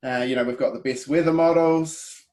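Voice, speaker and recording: New Zealand man presenting, halting delivery. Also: medium pitch, fairly even intonation, a little mellow. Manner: hesitant, many ums and restarts. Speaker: webinar presenter. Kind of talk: relaxed presentation.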